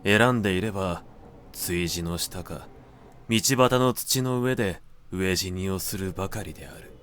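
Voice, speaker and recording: A man narrating a literary audiobook in a measured, deliberate manner with dramatic pauses. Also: sits low, fairly bright tone, a little hiss or hum. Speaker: narrator reading literature aloud.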